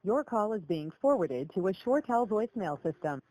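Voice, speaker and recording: A woman's voice: mid-range voice, sing-song, fairly quick, mellow, slight background noise, low-quality recording. A woman's automated phone system voice reading a scripted voicemail announcement.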